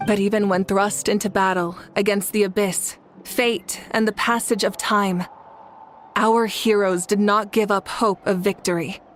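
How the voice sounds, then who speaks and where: An actress playing a noble, resolute warrior leader in a video game, speaking formally and earnestly, in a measured way. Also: female voice, mid-range voice, natural voice. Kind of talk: dramatic video game voice acting. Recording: game music behind the voice.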